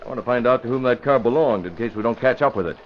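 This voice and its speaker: An actor playing a calm, decisive newspaper boss in an old radio drama, brisk and assured. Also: male voice, mid-range voice, close to an everyday voice. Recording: noisy muffled vintage radio recording.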